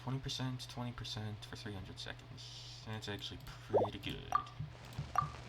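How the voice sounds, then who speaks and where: Slow, low-key man muttering on stream. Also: recorded from a distance, heavy background noise. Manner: low-key, thinking aloud in short bits. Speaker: game streamer. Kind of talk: casual muttering while playing. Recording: off-mic under loud game music. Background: loud game music.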